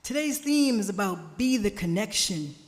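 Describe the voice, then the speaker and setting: A woman preaching a sermon at a church service, slow and emphatic, drawing responses from the congregation, her family from Jamaica. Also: medium pitch, lively intonation. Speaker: guest speaker at a church service. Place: church sanctuary. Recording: church hall reverb.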